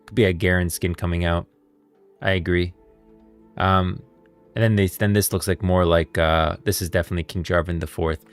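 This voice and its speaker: A man's voice: sits low, steady tone, normal speed, clean tone, soft background music. A man streaming casual live commentary on game lore, rambling and repeating phrases as he reasons aloud.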